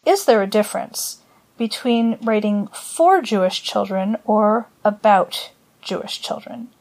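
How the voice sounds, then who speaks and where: A woman hosting a podcast panel, introducing the topic and posing questions in a measured way. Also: mid-range voice, a little mellow. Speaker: podcast host.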